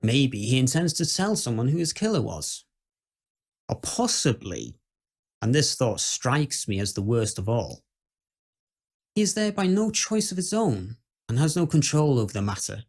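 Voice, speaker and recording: A proper Victorian gentleman played by a male actor delivers a dramatic ghost-story monologue in a mid-pitched voice. His phrasing is measured and old-fashioned, that of a skeptic who is still quietly full of dread. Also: big pitch swings. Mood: grave, musing.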